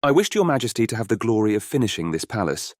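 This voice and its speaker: A man's voice: fairly low pitch, very animated, fast talker, no echo, studio quality. A man acting a bold, confident young fairy-tale hero in a storybook audiobook, with a courtly, commanding delivery. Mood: courtly, earnest.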